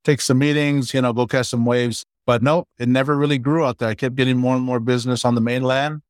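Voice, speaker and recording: A man in his forties from Hawaii, a startup founder chatting casually and warmly about his life as an interview guest. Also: medium pitch, steady tone, quick pace, dry recording, clean studio sound.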